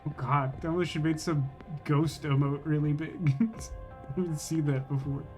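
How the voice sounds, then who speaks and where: Higher-voiced man livestreaming a game, music behind. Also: fairly even intonation, unhurried, a little mellow. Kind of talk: casual live gameplay commentary, chatting with viewers.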